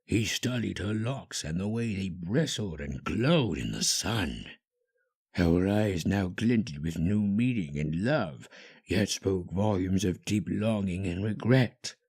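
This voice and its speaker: A man's voice: deep voice, lively intonation, slow, darkish tone, slightly rough. A man reading a fan-fiction story as a dramatic scripted narrator.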